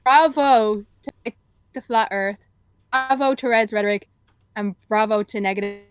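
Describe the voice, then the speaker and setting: This woman speaks a little higher than most women. She joins the stream by call and talks in a gossipy, mocking way. Her voice sounds dry, with no room around it. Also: fairly even intonation, unhurried, clear, resonant tone, dry recording, lo-fi sound. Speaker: guest on call. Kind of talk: casual chat.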